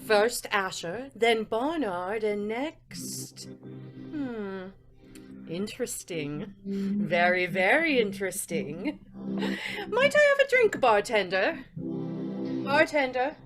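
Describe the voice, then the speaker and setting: An actress playing a mysterious woman narrator in an old-time radio drama, musing in a knowing, drawn-out way, with music underneath. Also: medium pitch, sing-song. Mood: sly, intrigued.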